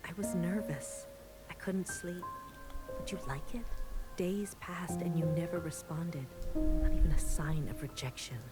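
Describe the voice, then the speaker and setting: An actress voicing a bitter, secretly rebellious clone sister in a measured, confessional game monologue, sometimes breathy. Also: female voice, lowish voice, over loud music, lightly acted. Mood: wounded, bitter.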